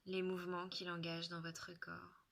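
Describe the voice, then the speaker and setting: A woman guides a relaxation at a very slow, soothing pace, leaving long pauses. Her voice sits slightly low yet is clearly bright, with soft, breathy endings to her phrases. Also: completely flat delivery.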